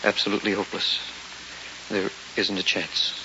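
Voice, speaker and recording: Casting: male actor as grim clipped surgeon for radio drama, deep voice, very noisy vintage recording. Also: normal speed, dull audio, lightly acted. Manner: clipped, flat, matter-of-fact. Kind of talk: old-time radio drama acting.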